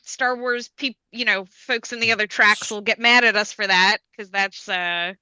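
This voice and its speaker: A woman's voice: mid-range voice, steady tone, normal speed, bright, forceful tone, clean tone, dry recording, poor audio. A woman chatting casually and playfully as a panelist at a fan convention.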